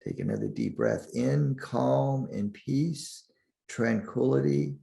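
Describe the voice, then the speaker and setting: Slow, gravelly male meditation guide. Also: mid-range voice, wildly swooping pitch, dark tone. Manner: soothing, deliberate, with long pauses between short phrases.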